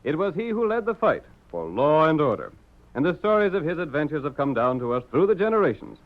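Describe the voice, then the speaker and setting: A man's voice: a little high, very animated, a little fast. A man narrating a western radio drama in a grand, declamatory old-time announcer style. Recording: dull, hissy old radio recording.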